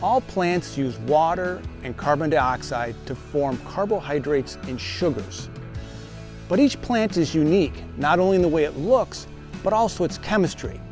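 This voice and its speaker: A man hosting a gardening show, giving steady, scripted, explanatory narration. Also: on the high side, moderate pace, over music.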